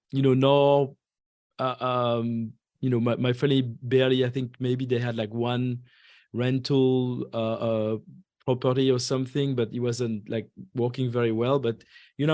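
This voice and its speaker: A man in his forties from France with French as his first language, a podcast guest who talks haltingly with many fillers and restarts. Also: mid-range voice, a little mellow, dry recording, clean studio sound. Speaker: guest investor. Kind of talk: podcast interview.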